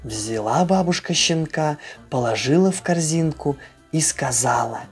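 A storyteller reads for children in an expressive way. The pace is slow and the pitch sits in the middle, with music playing behind the voice. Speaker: storyteller and channel host.

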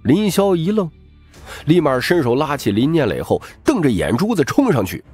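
Very animated male audiobook narrator. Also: fairly high pitch, normal speed, slightly rough, faint music behind. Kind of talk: dramatic scripted fiction narration.

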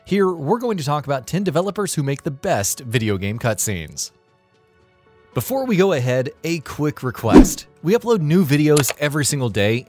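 A man reading scripted narration for a video game list show in a polished, emphatic way. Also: a little high.

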